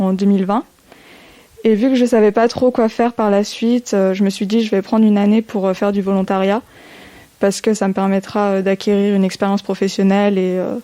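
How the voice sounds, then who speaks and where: Mellow-voiced young woman explaining informally. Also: medium pitch. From Bourg-en-Bresse, eastern France. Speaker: civic service volunteer.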